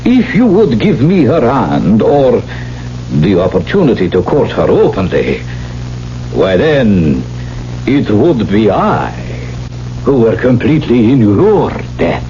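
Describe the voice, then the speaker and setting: A man acting in a 1970s radio drama as a scheming, courtly older suitor with formal, measured speech. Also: on the low side, wildly swooping pitch, warm tone, full character voice. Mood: calculating, courtly. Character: smooth, calculating older suitor gambler, scheming for a bride. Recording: muffled, hissy old radio broadcast.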